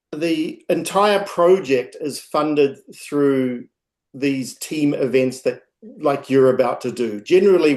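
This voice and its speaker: Slow, hesitant New Zealand man. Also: mid-range voice, darkish tone. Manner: hesitant, many ums and restarts. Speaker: guest speaker, project founder. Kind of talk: informal presentation on a video call. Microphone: video call.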